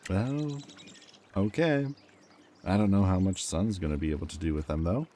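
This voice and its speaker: A man playing a protective, teasing former villain in an audio roleplay, with a wry, casual, halting delivery. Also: low voice, big pitch swings, mellow.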